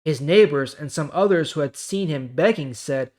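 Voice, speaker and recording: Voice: mid-range voice, lively intonation, normal speed, darkish tone. Informal host reading and explaining scripture. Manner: informal, explanatory, checks in with listeners. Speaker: host of a Bible reading series. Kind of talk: Bible read-aloud with casual commentary.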